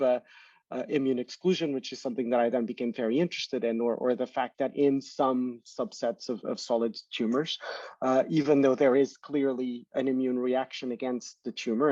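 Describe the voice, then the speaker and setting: A man's voice: medium pitch, darkish tone, no room sound. A man in his thirties from Portugal, a startup founder explaining his research as a guest, with many restarts and repeated words. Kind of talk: interview answers. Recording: dull distant call audio.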